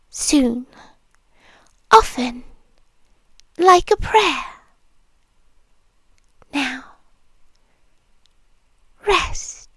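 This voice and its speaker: A British woman voicing a possessive demon girlfriend in an intimate, soft, breathy ASMR roleplay, tender yet menacing. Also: high-pitched, no echo, exaggerated voice. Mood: hushed, lulling. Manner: slow, breathy, drawn-out, soothing yet possessive. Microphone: close microphone, dry.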